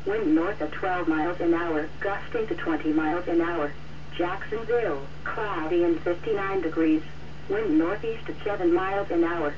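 A synthetic female announcer reads local weather conditions in a scripted, automated way. The voice comes through dull and distant from a radio receiver, with clear noise over it. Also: on the low side, moderate pace. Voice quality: synthetic text-to-speech. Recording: muffled, noisy radio receiver captured off-air.

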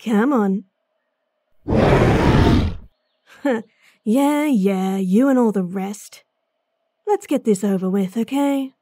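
A woman voicing a gruff, impatient female bounty hunter in a fantasy audio drama, blunt and sardonic, sighing often. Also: medium pitch, very slow, pauses often, warm tone, no room sound. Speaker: voice actress.

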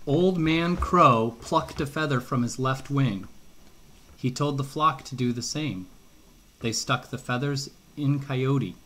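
Unhurried man reading a storybook aloud. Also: medium pitch, slight background noise. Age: middle-aged. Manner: unhurried, expressive, asks questions as he reads. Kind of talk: storybook read-aloud to a young child. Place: home kitchen.

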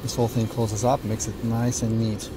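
A man's voice: medium pitch, flat delivery, background noise. Russian-accented man in a parked car. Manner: enthusiastic, halting with many fillers. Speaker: car reviewer. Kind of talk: casual car walkaround vlog. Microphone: phone camera at arm's length.

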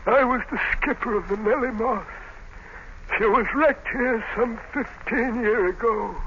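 A man playing a haunted, despairing old captive sea captain, halting and ominous, in 1930s radio drama style. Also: very high-pitched. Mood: despairing, warning. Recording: muffled, noisy 1930s radio recording.

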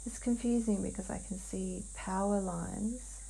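A woman describing a trance vision in a dreamlike way as a guided hypnosis client, halting and hushed, with long pauses. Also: medium pitch, fairly even intonation, vocal fry, a little hiss or hum, rough recording.

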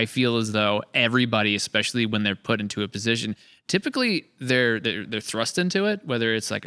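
A man in his thirties hosts a relaxed conversational podcast. His voice is bright and smooth, recorded close and dry. He reflects at length, circling back and rephrasing as he goes. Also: lowish voice, dry recording. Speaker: podcast host. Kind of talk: casual post-session podcast chat. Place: large indoor studio room. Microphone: close boom-mounted studio mic.

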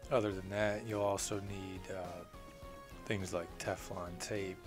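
A man's voice: low voice, flat delivery, unhurried, music in the background. A man, a plumbing contractor, walks through his tools off camera, talking plainly and unhurriedly with many "uh" fillers. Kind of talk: off-camera tool walkthrough.

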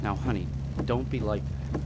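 A man's voice: fairly low pitch, fairly even intonation, normal speed, over music, natural voice, poor audio. An actor playing a cocky young husband in an audio drama, playful and mock-grandiose in his overconfidence. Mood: breezy, teasing. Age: young adult. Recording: clear rain and vehicle noise behind. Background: rain and vehicle noise.

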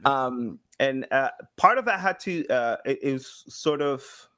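A man in his thirties from South America, telling stories casually as an interview guest, acting out quotes and pausing to find words. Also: medium pitch, lively intonation, bright, forceful tone. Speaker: podcast guest, sound designer. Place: small home room, video call. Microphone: boom-arm microphone with headset.

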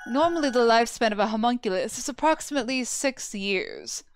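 A woman's voice: on the high side, sing-song, brisk, a little hiss or hum. A woman playing a proud, obsessive dead homunculus researcher in a video game, with a formal, theatrical, lecturing manner. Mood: cool, lecturing. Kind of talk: scripted video game voice acting. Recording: music behind the voice.

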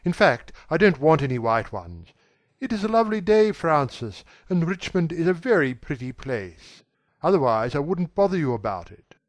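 A man voicing a young gentleman who speaks rapidly and with authority, at times pleading. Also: on the high side, sing-song, no echo, voice pushed up. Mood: brisk, commanding. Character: young gentleman, commanding yet anguished.

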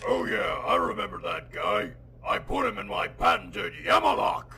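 A grandiose, theatrical giant judge of the afterlife in a cartoon parody. The voice is high-set and gravelly, swooping widely as he boasts. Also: wildly swooping pitch, moderate pace, pushed and bright, a little hiss or hum, heavily acted. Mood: dismissive. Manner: pompous, theatrical.

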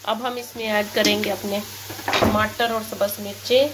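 A woman narrating a cooking recipe step by step, in a steady, instructive way, in a home kitchen. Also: medium pitch, normal speed, very noisy. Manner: steady, instructive, repeats "ye dekhiye". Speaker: cooking channel host. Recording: loud kitchen sizzle behind.

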